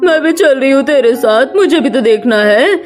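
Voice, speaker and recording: A woman's voice: high voice, animated, normal speed. A woman performs an older meddling aunt from a cartoon melodrama. She taunts and grumbles with heavy sarcasm, always ready to quarrel, over faint music. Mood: nosy. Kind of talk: Hindi cartoon family melodrama.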